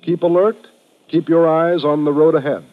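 A man's voice: fairly high pitch, steady tone, normal speed. A man with an American accent reading a scripted announcement as a 1950s radio announcer. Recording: muffled old radio recording.

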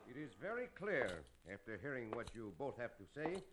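An actor playing a gallant, self-assured Mexican adventurer with a Mexican accent and courtly, formal phrasing in a radio western. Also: male voice, mid-range voice, sing-song, brisk, over music, close to an everyday voice. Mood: composed, firm. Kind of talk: 1950s radio western acting.

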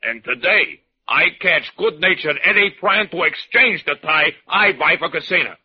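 A man's voice: on the high side, expressive, normal speed, breathy edge, voice pushed up. A man voicing a big, indignant, thick-headed hoodlum with blustering gangster slang in a 1940s-style radio crime drama. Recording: dull old radio recording.

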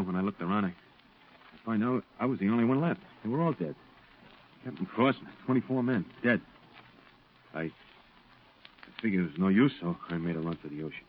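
An actor playing a tough, battle-shaken marine sergeant in a 1940s radio drama, speaking haltingly in rough working-class speech. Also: male voice, deep voice, close to an everyday voice. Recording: muffled, noisy 1940s radio recording.

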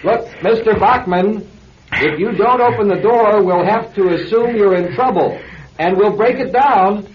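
An actor playing a casual, practical American police officer in an old-time radio drama, speaking in plain colloquial phrases with some hesitation. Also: male voice, high voice, unhurried. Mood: firm, calling out. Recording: dull, hissy old radio recording.

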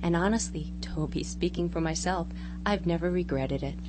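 A woman playing an earnest small-town mother in a live radio drama, calmly explaining and reassuring like a storyteller. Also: lowish voice, moderate pace, natural voice. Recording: hum and loud background noise.

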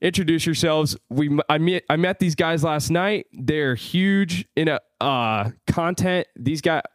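A man in his twenties hosting a podcast, excitable and swearing freely as he tells loose stories. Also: a little high, unhurried, no echo, clean studio sound. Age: 20s. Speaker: podcast host. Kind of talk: casual chat.

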